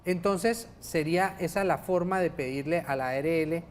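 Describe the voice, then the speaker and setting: Patient Colombian lawyer explaining cases. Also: male voice, fairly high pitch, normal speed, a little hiss or hum. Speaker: lawyer guest. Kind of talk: explaining legal cases on a TV call-in show. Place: TV studio.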